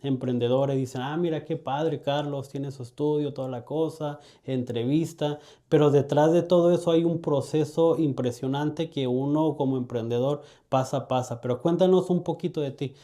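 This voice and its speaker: A man interviews a podcast guest, speaking in a mellow, slightly higher-pitched voice. His questions are friendly and complimentary, with words repeated now and then. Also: normal speed, few pauses. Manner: friendly, flattering questions with repetitions. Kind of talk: casual interview talk.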